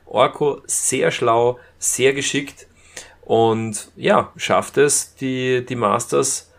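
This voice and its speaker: A man casually reviewing as a podcast co-host, retelling scenes in a halting way. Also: medium pitch, creaky, a bit bright. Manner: halting retelling with acted-out quotes.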